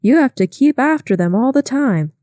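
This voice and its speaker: A woman's voice: sits high, lively intonation, normal speed, warm tone, dry recording, clean studio sound, voice pushed up. A woman reading aloud, voicing a showy, affected young hostess with a raised, haughty voice.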